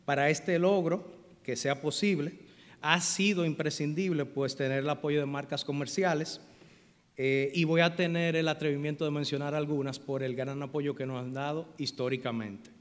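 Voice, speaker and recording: A man's voice: on the high side, steady tone, normal speed. Middle-aged man speaking in a hall. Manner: earnest, grateful, many hesitations. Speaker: event organizer giving a speech. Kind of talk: formal thank-you speech. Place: large auditorium stage at a lectern. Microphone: lectern microphone. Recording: long reverb of a large hall.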